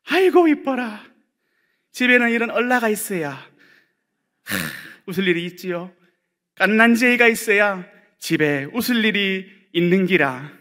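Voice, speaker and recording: A pastor voicing a chatty elderly person with a Gyeongsang dialect, fondly exclaiming over a baby in a sing-song way. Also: male voice, high voice, lively intonation, moderate pace, pauses often, fairly bright tone, long reverb tail, pitched above the performer's own voice. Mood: doting. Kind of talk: sermon anecdote.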